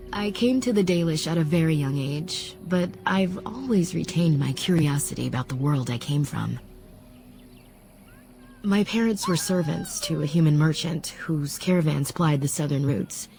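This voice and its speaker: A composed elf wise woman from a fantasy game. Her voice is low, dark and creaky, and she speaks with calm, reflective formality. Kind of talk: fantasy video game acting, measured storytelling.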